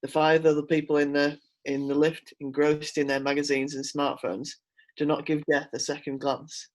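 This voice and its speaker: Higher-pitched man reading a screenplay. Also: flat delivery, normal speed. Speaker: script reader. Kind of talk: scripted narration, screenplay read-through.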